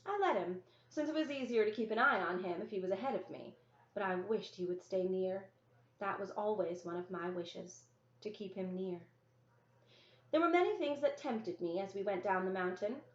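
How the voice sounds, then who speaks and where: A woman's voice: medium pitch, lively intonation, moderate pace. Female story reader, mid-range voice, first-person children's narration, echoey indoor recording. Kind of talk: scripted first-person narration, children's novel read-aloud. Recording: roomy, echoey, dull lo-fi sound.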